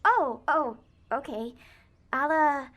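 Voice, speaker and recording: Woman acting a high, stammering, anxious girl. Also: slow, fairly bright tone. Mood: flustered. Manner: stammering, hesitant. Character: flustered, anxious, awkward young girl. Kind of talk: audio drama acting.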